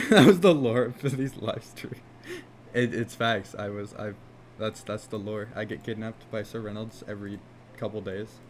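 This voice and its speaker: A man with a lowish, fairly monotone voice chats loosely while he codes on a live stream, swearing casually and circling back on his thoughts. A faint hiss of noise sits under the sound of his desk mic. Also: normal speed. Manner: rambling, laid-back, swears casually, frequent "like" fillers. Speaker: game dev streamer.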